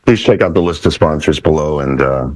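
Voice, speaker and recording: A man's voice: rumbling low, fairly quick, no echo. A man chatting casually as co-host of a talk show. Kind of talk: casual chat.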